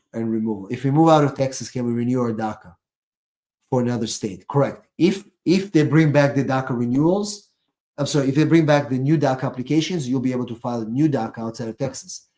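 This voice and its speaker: A man's voice: mid-range voice, a little fast, warm tone. Emphatic man giving live advice. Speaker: immigration attorney hosting a live Q&A. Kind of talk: live stream advice, off the cuff.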